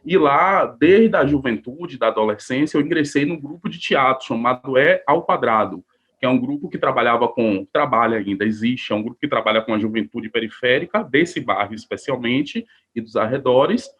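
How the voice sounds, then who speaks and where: A man's voice: mid-range voice, normal speed, a bit bright, husky, lo-fi sound. A man from Salvador, a researcher giving a conversational academic talk on a video call, with many fillers and restarts. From Salvador, Bahia, Brazil. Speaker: researcher and panelist.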